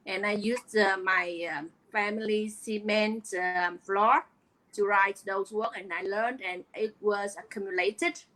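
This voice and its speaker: A middle-aged woman with a Vietnamese accent speaks in an earnest, hesitant way, filling her pauses with "uh" and "um." Her tone is bright and forceful, and she speaks at a moderate pitch for a woman. She comes across as a guest sharing her personal journey in an interview. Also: rough recording. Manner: earnest, hesitant with many fillers. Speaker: guest, online business coach.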